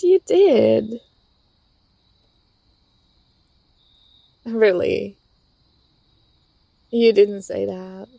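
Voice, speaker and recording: Woman playing teasing young female friend, soft ASMR roleplay, gentle, coaxing, mellow tone, close dry sound. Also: on the high side, vocal fry, dry recording, exaggerated voice.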